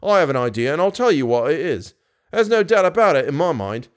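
A man's voice: on the high side, very animated, fairly quick, pitched above the performer's own voice. A man voicing an irritable, stubborn head riding master in a story, dry and insistent.

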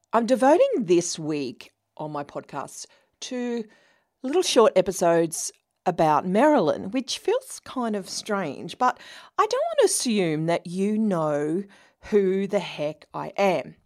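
Australian woman in her fifties, lowish. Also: big pitch swings, slow, darkish tone. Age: 50s. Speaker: podcast host. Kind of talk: casual solo podcast monologue.

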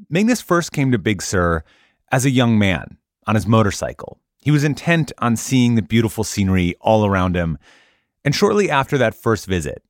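A man hosting and narrating a podcast, reading a script with playful, expressive emphasis. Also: lowish voice, no room sound, studio quality.

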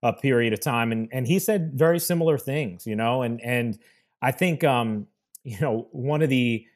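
A man hosting a casual interview who asks long, halting questions full of restarts and fillers. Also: medium pitch. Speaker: host.

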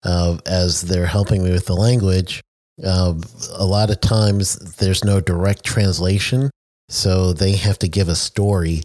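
A man's voice: sits low, steady tone, measured pace, a little mellow, no echo. A man from the United States interviewing a guest in a friendly, chatty way, laughing easily. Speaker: interviewer, safety trainer. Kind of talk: conversational interview.

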